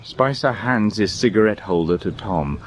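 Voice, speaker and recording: A man acting as a measured storyteller, delivering scripted narration in a documentary drama. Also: lowish voice, animated, natural voice. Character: measured male storyteller describing a curious ritual.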